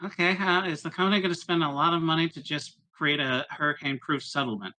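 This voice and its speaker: A man in a tabletop roleplaying game, speaking casually in character, blunt but often pausing to think. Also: on the high side, pushed and bright, low-quality recording.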